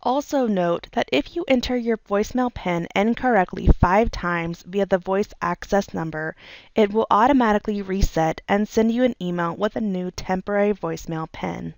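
A woman narrates a software tutorial from a script. Her delivery is measured and methodical, going step by step. Her mid-pitched voice has a clear fry to it, recorded very dry with no room sound. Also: dry recording, rough recording.

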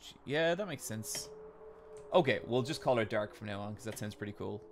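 A man's voice: on the low side, expressive, fairly quick, slight background noise. A man in his thirties with an Irish accent, chatting casually with his live chat while playing a game in his bedroom. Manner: chatty, reads and answers chat, swears casually. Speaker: streamer. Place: home bedroom. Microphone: desk mic with webcam.

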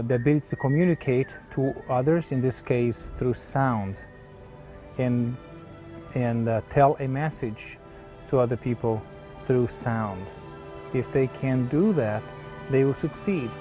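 Middle-aged man, thoughtful, halting, mellow dark tone, outdoor interview. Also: medium pitch. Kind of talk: documentary interview. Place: outdoors on a lawn.

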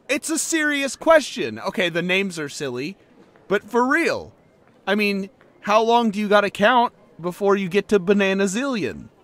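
A man's voice: fairly high pitch, fairly bright tone. A young man acting a laid-back, playful working-class boyfriend in a conversational roleplay audio drama, informal and earnest. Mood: mock indignant. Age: young adult.

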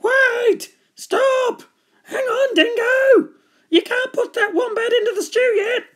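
A woman's voice: very high-pitched, fairly even intonation, slow pace, voice pushed up. A woman voicing alarmed bush animals in a children's storybook, calling out shrilly and urgently. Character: alarmed bush animals pleading all at once.

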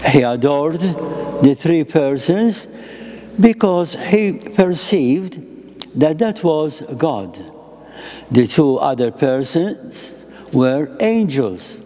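An elderly priest delivers a sermon from a lectern in a chapel, with slow, deliberate phrasing and a melodic rise and fall. His voice is mellow and somewhat high for a man, and a long church reverb trails behind it. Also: very animated. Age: older. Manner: slow, deliberate phrasing in short measured pieces. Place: chapel with an icon and a lectern, indoors. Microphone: lectern microphone.

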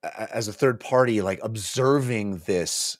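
A middle-aged man with a low-pitched voice talks casually about a book. He is a thoughtful, emphatic co-host who often breaks up his phrases as he thinks. The sound is dry and close, from a desk microphone. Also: moderate pace, no room sound. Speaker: podcast co-host. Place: small home room, indoors. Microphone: close desk microphone on boom arm.

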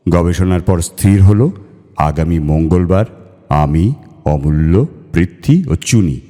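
A man reading a literary story aloud in a measured, theatrical way, as a storyteller. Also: low voice, lively intonation, warm tone. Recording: long reverb over music. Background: music.

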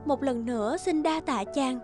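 A woman voicing a gentle, delicate young fairy maiden in a cartoon. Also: high voice, unhurried, over music. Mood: grateful, polite.

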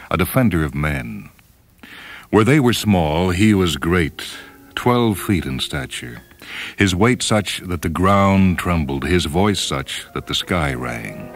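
A man reading a story as a radio host, in a measured, solemn, declamatory dramatic style. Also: sits low, animated. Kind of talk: dramatic scripted storytelling narration. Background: orchestral and piano music.

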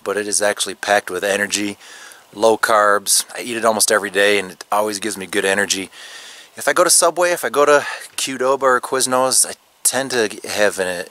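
A man in his thirties talking casually to a handheld camera outdoors at a snowy campground, relaxed and chatty with fillers. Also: fairly low pitch. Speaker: vlogger. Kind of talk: casual vlog talk to camera. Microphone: handheld camera at arm's length.

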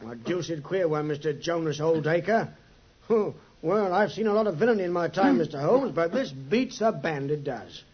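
An actor playing a gruff, plain-spoken English police inspector, blunt and colloquial, in 1950s radio style. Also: male voice, fairly high pitch, lively intonation, moderate pace. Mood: scornful. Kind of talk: 1950s radio drama acting. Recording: dull old 1950s radio recording.

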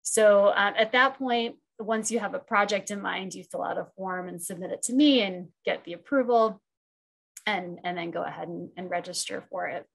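Creaky-voiced woman chair answering questions. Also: mid-range voice, normal speed, fairly bright tone, low-quality recording. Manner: hesitant, many fillers and restarts, thinks aloud. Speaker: program chair. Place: room at home. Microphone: wired earbuds on a video call.

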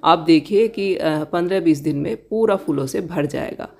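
A woman giving a casual tour of her balcony garden outdoors, gently pointing out plants and giving tips to viewers. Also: lowish voice, normal speed. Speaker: garden vlogger.

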